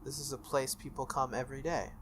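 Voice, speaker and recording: A man's voice: medium pitch, little pitch movement, fast talker, a bit bright, slight background noise. A man reading a visual novel aloud as a let's play host, with casual irreverent asides.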